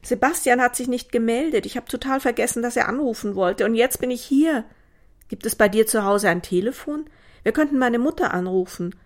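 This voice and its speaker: A woman voicing a bewildered, anxious modern girl in an audiobook, speaking in an agitated, halting way. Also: mid-range voice, voice pushed up, natural voice. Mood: flustered.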